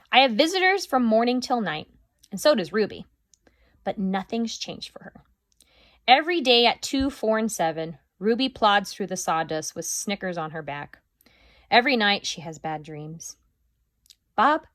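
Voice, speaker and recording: A woman teacher reading a chapter book aloud to her class, with expressive, lively storytelling. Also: mid-range voice, dry recording.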